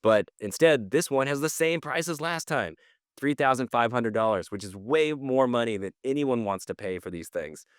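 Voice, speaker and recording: A man's voice: medium pitch, animated, moderate pace, smooth, no room sound, studio quality. A man co-hosting a podcast in casual conversation, giving slangy opinions and thinking aloud. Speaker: podcast co-host.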